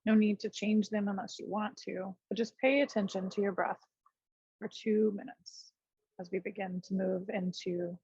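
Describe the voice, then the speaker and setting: A woman teaching yoga and guiding a meditation in a gentle, unhurried way, leaving pauses between short phrases. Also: medium pitch, steady tone, slightly rough, no echo, highs cut off. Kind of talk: guided meditation, unscripted class instruction.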